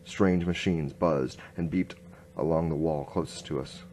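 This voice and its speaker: A man plays a hard-boiled inspector narrating a noir radio drama. His voice is very low, and his delivery is terse and grim, over faint background noise. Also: fairly even intonation, unhurried, a little mellow. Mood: grim narration.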